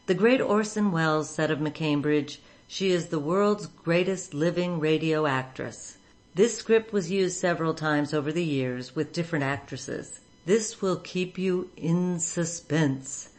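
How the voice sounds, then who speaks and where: A woman's voice: low voice, measured pace. A woman giving scripted narration as the host of a radio show.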